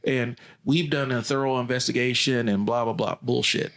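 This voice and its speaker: A man's voice: medium pitch, fairly even intonation, normal speed, fairly bright tone. A man from the American Midwest co-hosting a basketball coaching podcast, speaking bluntly in a ranting, opinionated way. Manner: blunt, ranting, casual swearing.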